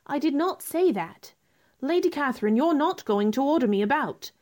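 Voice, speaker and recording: Radio play heroine: self-possessed young woman, female performer, high register, animated intonation, courteous, firm, quietly defiant. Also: normal speed. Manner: polite but firm, pointed. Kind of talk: radio theater acting.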